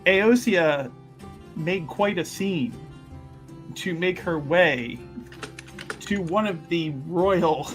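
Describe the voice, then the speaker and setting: A man playing a tabletop game, narrating his character's actions in halting, mock-formal wording. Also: a little high, soft background music.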